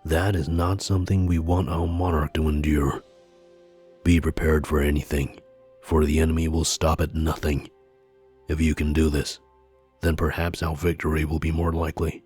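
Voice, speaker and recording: Very deep, husky mystic seer. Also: male voice, flat delivery, darkish tone. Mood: grave warning. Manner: grave, deliberate, formal, speaks as "we". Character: solemn, conspiratorial mystic seer advising a monarch. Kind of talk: scripted visual novel character dialogue. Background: background music.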